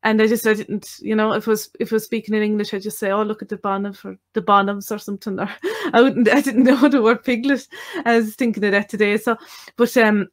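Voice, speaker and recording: An Irish woman in casual chat who speaks haltingly, with many restarts. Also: medium pitch, fairly even intonation.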